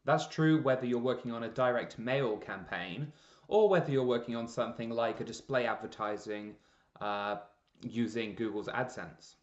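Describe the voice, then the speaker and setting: A man presenting a scripted promotional explainer in a measured, deliberate way with emphasis on key words. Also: medium pitch. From Canada. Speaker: presenter, freelance copywriter. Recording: roomy echo, slightly dull lo-fi sound.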